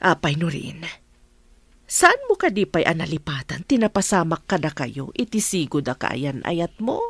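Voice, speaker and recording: Woman playing nosy affectionate mother, middle-aged, very animated intonation, teasing, exclamatory, audio drama. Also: low-pitched, moderate pace, breathy edge, dry recording, full character voice. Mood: teasing, concerned. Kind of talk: Ilocano audio drama dialogue.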